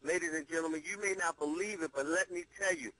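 A man giving a promotional conference-call sales pitch, emphatic and salesman-like, with deliberate pauses for effect. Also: sharp tone, rough recording. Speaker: presenter pitching an online money-making program.

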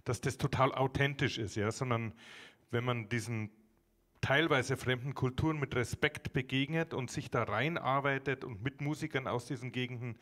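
A man's voice: mid-range voice, steady tone, moderate pace, a bit bright. A middle-aged man hosting a concert, asking a singer questions into a handheld microphone on a small stage in a thoughtful, conversational way. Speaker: concert host. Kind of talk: on-stage interview.

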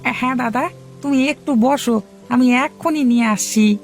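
A woman's voice: sits high, normal speed, faint music behind. A woman voicing Ramu, an eager, servile young male shop boy in a cartoon who calls out to customers in a sing-song patter.

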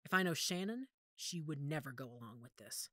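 Pack leader mother, werewolf audio drama, female actor: low register, decisive, strategic, dry studio sound. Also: animated, a little fast, pushed and bright, no echo, full character voice. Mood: grim, reasoning. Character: commanding werewolf pack matriarch, a calculating mother.

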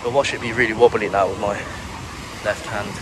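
A British man from England giving monotone, relaxed commentary while walking a beach outdoors, filming on a handheld camera. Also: on the low side, a little fast. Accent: British English. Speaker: travel vlogger. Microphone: handheld camera carried while walking. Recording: clear wind and surf noise. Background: ocean waves and breeze.

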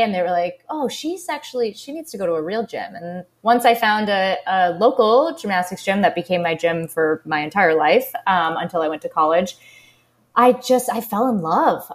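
Woman, 30s, from Boston area, interview guest, upbeat, chatty, lots of fillers, mid pitch. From United States, Boston area. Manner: upbeat, chatty, many fillers like "you know" and "like". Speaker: guest, former Olympic gymnast. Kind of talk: casual interview talk.

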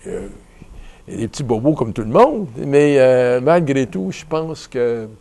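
A man in his seventies with a Canadian French accent, a retiring bishop being interviewed, who talks in a relaxed, reflective way with many fillers and restarts. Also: medium pitch, measured pace, creaky. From Ottawa, Canada. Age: 70s. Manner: reflective and rambling, many fillers and restarts, gestures while talking. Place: small bright indoor studio at a table.

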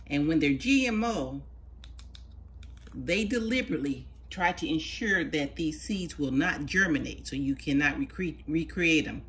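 Woman, very low pitch, casual how-to narration, homey and patient, indoor sunroom. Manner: homey, patient, chatty explaining. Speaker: home gardener showing seed starting.

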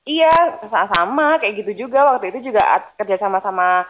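A woman's voice: mid-range voice, lively intonation, a little fast. Woman on a thin phone line. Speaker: wife questioning a phone caller. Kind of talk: casual phone conversation.

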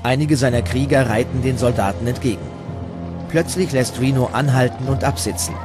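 A man's voice: medium pitch, brisk. A man reading scripted documentary narration as the narrator. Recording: loud music bed under the voice. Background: loud music.

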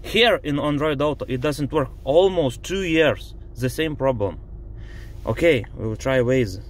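A man with a Lithuanian accent, a native Lithuanian speaker, complains in a car about voice input while showing his phone and dashboard screen. He speaks in halting English with many fillers and sounds frustrated. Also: mid-range voice, slight background noise. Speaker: driver demonstrating a car app. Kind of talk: casual complaint video. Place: inside a parked car.

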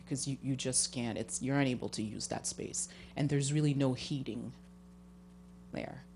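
Low-voiced woman explaining, faint hum. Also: moderate pace, a little mellow. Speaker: homeowner applicant. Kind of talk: informal explanatory presentation. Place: municipal meeting room. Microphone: handheld microphone.

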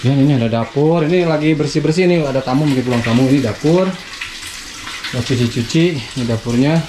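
A man's voice: mid-range voice, fairly quick. A man talking casually while walking through a villa with a handheld camera, chatty and loose with fillers. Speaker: vlogger touring a villa. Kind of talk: casual walkthrough commentary. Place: indoors and outdoors at a mountain lakeside villa. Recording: noisy camera audio.

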